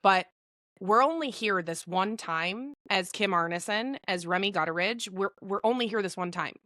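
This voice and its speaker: A woman hosting a podcast, talking in a loud, excitable, swear-heavy way and hyping up her guest. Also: mid-range voice, normal speed, no room sound, clean studio sound. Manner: profane, excitable, emphatic. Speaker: podcast host. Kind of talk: casual podcast chat.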